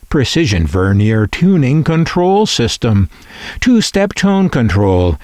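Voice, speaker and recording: A man presenting and reading catalog copy aloud as scripted narration, in a deliberate, itemized way. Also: medium pitch, no echo, studio quality.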